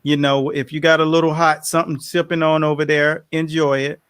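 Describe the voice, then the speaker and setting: A man hosting an interview show, emphatic and animated, with frequent affirmations. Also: fairly high pitch, fairly quick, clean tone, dry recording. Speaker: show host.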